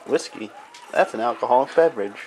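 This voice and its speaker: A man's voice: medium pitch, lively intonation, fast, a little mellow, slight background noise, poor audio. A young man filming a home video in a kitchen, joking and teasing in casual banter. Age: young adult. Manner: teasing, wisecracking. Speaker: vlogger filming. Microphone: handheld camera mic.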